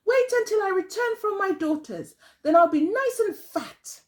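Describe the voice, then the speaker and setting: A woman's voice: very high-pitched, expressive, normal speed, pitched above the performer's own voice. A woman voicing an anxious old mother in a children's tale, pleading in a plaintive sing-song.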